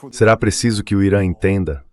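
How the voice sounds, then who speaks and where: Deep male AI voice, dubbing a political commentator arguing a case. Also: fast talker. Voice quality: synthetic AI voice. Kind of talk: political argument, translated dub.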